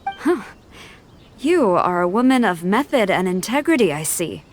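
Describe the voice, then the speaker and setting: A stern, dutiful army general in a game. Her voice sits at a middle pitch, formal and composed, with faint noise behind it. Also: sing-song, a little slow. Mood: approving. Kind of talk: game character acting.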